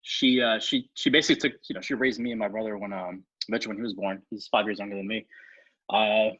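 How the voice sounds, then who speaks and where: A man from Ethiopia, a guest on an interview podcast, talking quickly and casually. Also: on the low side, fairly even intonation, fairly bright tone, husky, dry recording, muffled recording. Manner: fast, fluent talker with many fillers and restarts.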